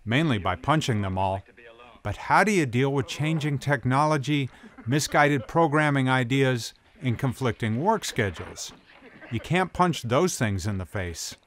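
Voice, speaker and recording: Middle-aged male documentary host, low register, slangy tough-guy phrasing, light music bed. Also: fairly bright tone. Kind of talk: scripted documentary narration.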